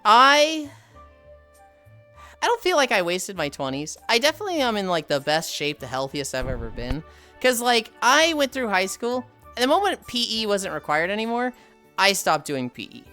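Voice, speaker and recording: A woman's voice: mid-range voice, very animated, moderate pace, sharp tone, faint music behind. A woman in her thirties chatting casually on a livestream. She sounds tired and sardonic, sighs often, and swears freely. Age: 30s. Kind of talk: casual stream chat.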